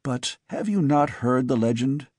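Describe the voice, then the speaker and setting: A man voicing a weary, brooding older father who speaks in a soft, tired, probing way. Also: mid-range voice, expressive, measured pace, dry recording, studio quality.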